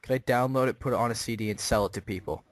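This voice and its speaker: Male caller, mid-range voice, deadpan naive questioning, dry recording with no room sound. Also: mid-range voice, racing, dry recording. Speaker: prank caller posing as a customer. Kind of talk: phone call questioning.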